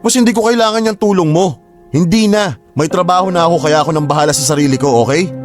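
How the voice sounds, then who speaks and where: A man playing a young teacher and proud older brother who turns defensive and quickly flares into shouting, in a radio drama. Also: high voice, soft background music, natural voice. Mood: angry.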